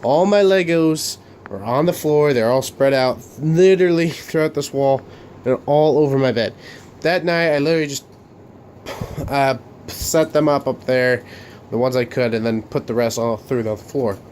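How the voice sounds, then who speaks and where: A young man hosting a casual room tour vlog of his bedroom studio, talking haltingly with many fillers and restarts while coming down with a cold. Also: medium pitch, a little mellow, slight background noise. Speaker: Lego channel host.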